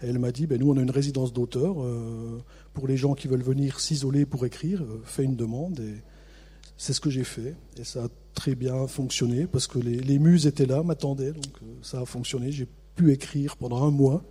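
Husky-voiced man with a Vosges accent. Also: medium pitch, moderate pace. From Vosges, France. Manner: relaxed, self-mocking, many hesitations. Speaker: author, interview guest. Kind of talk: radio interview.

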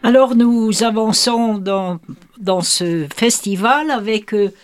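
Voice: mid-range voice, slow pace. A radio host who moderates the interview, asks questions, and introduces the music. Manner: leading, moderating the guests.

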